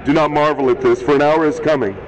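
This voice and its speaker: A man in his fifties reading scripture aloud as a street preacher, steady and measured while being heckled. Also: on the high side, low-quality recording. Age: 50s. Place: outdoors on a busy street. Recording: loud street crowd behind.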